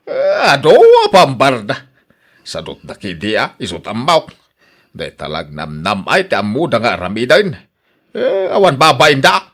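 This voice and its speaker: An actor playing a grumpy, stingy old husband in a radio drama, scolding and complaining with an Ilocano accent. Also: male voice, mid-range voice, sing-song, measured pace, fairly bright tone, full character voice. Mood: scornful, complaining. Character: grumpy, stingy old husband guarding his fruit trees. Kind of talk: Filipino radio drama acting.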